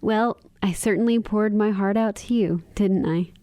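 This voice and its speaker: A woman's voice: mid-range voice, normal speed, dark tone, dry recording, clean studio sound, natural voice. A woman playing a kind, earnest and plain-spoken young woman in a radio drama. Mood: tender. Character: kind, candid young woman with a wistful side.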